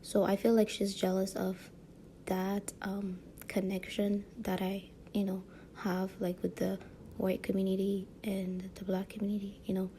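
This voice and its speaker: A woman's voice: mid-range voice, monotone, measured pace, slight background noise. A woman with a light French accent talking casually to her viewers, rambling with many fillers and "you know what I mean?" tag questions. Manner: frequent soft laughs. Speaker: vlogger. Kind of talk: casual monologue to camera.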